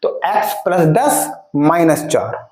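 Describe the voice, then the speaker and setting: A man in his twenties teaching math for an exam, addressing students directly and reading word problems aloud slowly, word by word. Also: a little high, very animated, a little mellow, reverberant. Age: 20s. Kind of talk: exam-prep video lesson.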